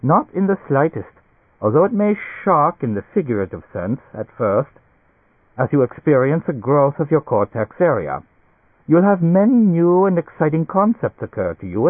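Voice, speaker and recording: Storybook German doctor: fussy, effusive, giggly temperament, lifted animated voice, thin lo-fi sound. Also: male voice, mid-range voice, no room sound, voice pushed up. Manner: effusive, broken English sprinkled with German words. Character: male German doctor, excitable, giggling, fussily reassuring. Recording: tinny band-limited radio-like sound.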